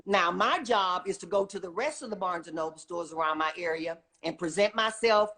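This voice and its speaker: A woman's voice: on the low side, brisk, a bit bright. A middle-aged woman talking casually and enthusiastically as an interview guest, emphatic and often checking in with "okay?". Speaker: guest, children's book author and retired teacher.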